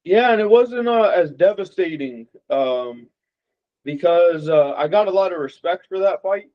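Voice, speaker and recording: A young man from Las Vegas chats loosely about himself, leaning on fillers as he goes. His voice sits a little high. The recording is muffled and lo-fi. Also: measured pace. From Las Vegas, USA. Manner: relaxed, rambling with many fillers. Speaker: boxer guest. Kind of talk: casual interview chat. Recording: dull lo-fi audio.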